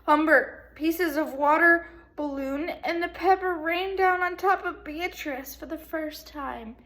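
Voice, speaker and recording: A woman teacher reading a storybook aloud to children in an animated way, pausing to put questions to her young listeners. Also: sits high. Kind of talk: expressive children's read-aloud, speaking to young pupils.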